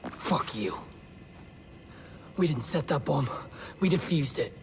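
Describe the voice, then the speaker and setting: An actor playing a defiant captured resistance fighter in a video game. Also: male voice, fairly high pitch, lively intonation, slow, creaky, slight background noise, exaggerated voice. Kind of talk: video game cutscene acting.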